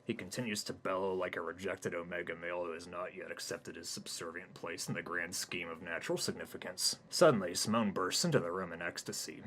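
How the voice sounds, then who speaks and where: A man reading his own crude comic story in a deadpan narrating style. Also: low voice, brisk, hardly any pauses, slight background noise. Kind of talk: comic stream-of-consciousness narration.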